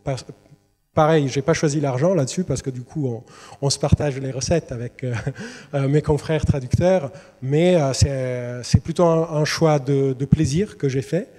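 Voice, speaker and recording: A man's voice: medium pitch, moderate pace, warm tone. A man, a literary translator, giving a presentation to students, hesitant with many fillers and restarts. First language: Italian. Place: university lecture hall. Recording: long reverb in a large hall.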